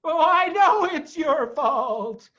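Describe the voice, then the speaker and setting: A man voicing an excitable, anxious badger in a children's storybook, exclaiming in a worried, dramatic way. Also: very high-pitched, slow, lo-fi sound, pitched above the performer's own voice, full character voice. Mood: furious. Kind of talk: storybook character voice.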